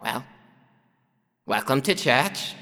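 A woman playing a dejected, rambling pastor who complains haltingly and deadpan in a comedic audio drama. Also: a bass voice, creaky, full character voice. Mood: flat, resigned. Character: dejected, rambling pastor airing petty personal woes. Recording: long hall reverb, faint music.

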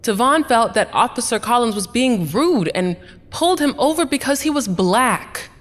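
A woman's voice: on the high side, expressive, normal speed, lightly acted. An actress playing a defensive, upset female witness giving testimony in a courtroom audio drama. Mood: defensive, reluctant. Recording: reverberant room.

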